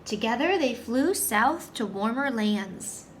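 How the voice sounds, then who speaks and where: A woman teacher reading a story aloud to young children, with simple, deliberate phrasing and questions for her listeners. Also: a little high, expressive, slight background noise.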